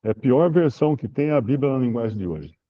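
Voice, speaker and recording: A man explains scripture in a relaxed, unhurried way and slows to read verses aloud. His voice sits at a middle pitch. The audio is dull and lo-fi, like an online call. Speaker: Bible teacher answering questions. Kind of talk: informal Bible study Q&A.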